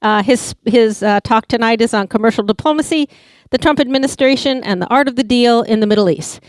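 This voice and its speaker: A woman hosts an evening talk, working through announcements with frequent pauses and fillers. Her voice is clear and crisp, somewhat high, and recorded dry with little room sound. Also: dry recording. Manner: hesitant, with many uhs. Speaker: event host. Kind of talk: announcements and speaker introduction.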